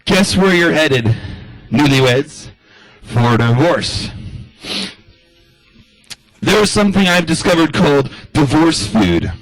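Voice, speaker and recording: A man's voice: on the high side, sing-song, takes it slowly, pauses often, low-quality recording. A man doing a rambling stand-up comedy monologue in the style of a radio address, loose and chatty, full of asides.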